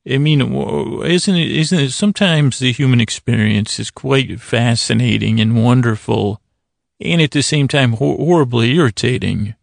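A man with a gravelly, creaky voice delivers an improvised bedtime podcast monologue. He wanders through tangents, stops to correct himself and apologizes in a whimsical way. The recording is dry and close, with no room sound. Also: medium pitch, no echo. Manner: meandering, halting, trails off into tangents and self-corrections. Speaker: podcast host.

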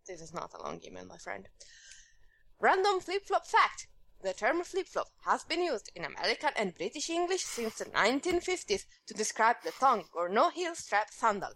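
A woman's voice: fairly high pitch, sing-song, moderate pace, a bit bright. A woman from Europe co-hosting a podcast, reading listener emails aloud and joking around in casual chat. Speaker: podcast co-host.

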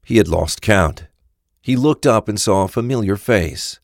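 A man's voice: lowish voice, expressive, unhurried, creaky, dry recording, studio quality. A man reading scripted narration for a crime audio drama.

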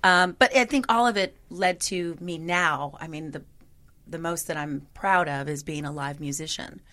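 A woman singer in a conversational interview, with a gravelly voice and a chatty manner full of "you know". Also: sits low, moderate pace, bright, forceful tone.

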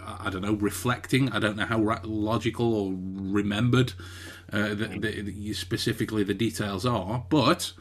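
A man's voice: fairly low pitch, fairly even intonation, moderate pace. A man co-hosting a podcast in casual chat, often stumbling and repeating words as he thinks. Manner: hesitant, many repeated words and restarts. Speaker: podcast co-host.

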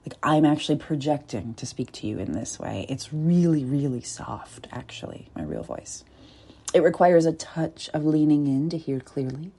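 Woman, low and mellow voice, soft and deliberate, reflective coach delivering a spoken essay. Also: lively intonation, husky. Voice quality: deep and soft. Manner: soft, deliberate, with pauses and whispered asides. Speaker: body-work coach.

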